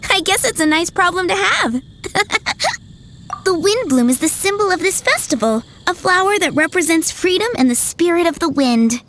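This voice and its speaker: A young flower shop girl in an anime game. Her voice is high and very lively in pitch, sweet, polite and chirpy. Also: normal speed, slight background noise, full character voice. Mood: cheerful, informative. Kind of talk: anime game dialogue acting.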